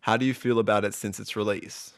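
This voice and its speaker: A man's voice: lowish voice, fairly even intonation, brisk, gravelly. A man hosting a music interview in a casual, chatty style. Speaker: podcast host.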